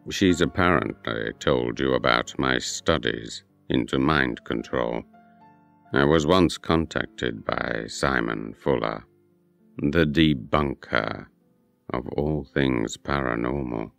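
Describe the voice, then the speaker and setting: Very deep, gravelly doctor. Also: male voice, fairly bright tone, faint music behind, exaggerated voice. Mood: measured, probing. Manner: slow, deliberate, formal. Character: short round bearded doctor, measured, probing mind-control expert. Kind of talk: audio horror drama acting.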